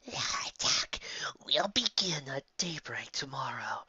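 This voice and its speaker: A narrator voicing an ancient, condescending male monster overlord in a slow, breathy, rasping whisper for an audio story. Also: mid-range voice, big pitch swings, pushed and bright, dry recording, muffled recording, exaggerated voice. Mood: commanding, menacing. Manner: slow, drawn-out, grandiose royal we.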